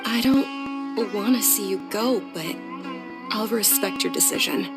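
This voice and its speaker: A woman playing a polite, gentle young noblewoman in a video game, soft-spoken, earnest and courteous. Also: mid-range voice, unhurried, vocal fry, over loud music. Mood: wistful. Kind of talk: video game dialogue acting.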